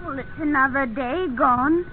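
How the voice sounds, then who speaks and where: An actress playing a chatty, persistent young country niece in a 1950s radio drama. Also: female voice, high voice, measured pace. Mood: eager, inquisitive. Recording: tinny, noisy 1950s radio recording.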